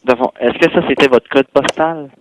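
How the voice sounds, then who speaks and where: A man's voice: mid-range voice, moderate pace. Male hotel clerk, Quebec French accent, businesslike customer-service phone manner, with noise and clicks on the line.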